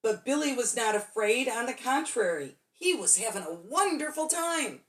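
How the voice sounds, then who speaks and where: A woman's voice: on the high side, big pitch swings, normal speed. A woman storyteller reading a children's bedtime story expressively, drawing out key words for dramatic emphasis.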